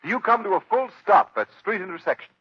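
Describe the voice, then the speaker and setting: A man announcing and reading a sponsor's pitch in emphatic, salesmanlike 1930s radio style. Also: expressive, quick pace, breathy edge. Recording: muffled 1930s radio broadcast recording.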